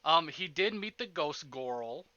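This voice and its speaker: A man with a very bright, forward voice pitched a little high hosts a livestream. He chats informally and rambles from point to point. The sound is dry and close, if slightly dull. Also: lively intonation, a little slow, no room sound. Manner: informal, rambling, with mild swearing. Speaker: livestream host. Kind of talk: casual livestream chat.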